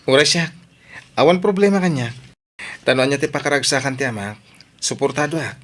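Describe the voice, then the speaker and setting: A grateful young graduate son, voiced by a man. He speaks in a steady mid-range voice, earnest and respectful toward his parents. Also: mid-range voice, fairly quick. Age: young adult.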